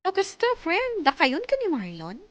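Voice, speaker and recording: A woman's voice: very high-pitched, huge pitch swings, normal speed, fairly bright tone, no room sound, full character voice. An actress with an Ilocano accent playing a girlish, wistful young woman chatting with her friend in a radio drama. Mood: curious, wistful.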